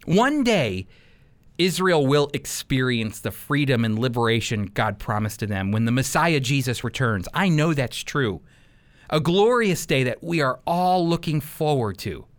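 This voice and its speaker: Animated, emphatic male Bible teacher. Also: medium pitch, unhurried. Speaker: Bible teacher on a radio program. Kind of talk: sermon-like teaching talk.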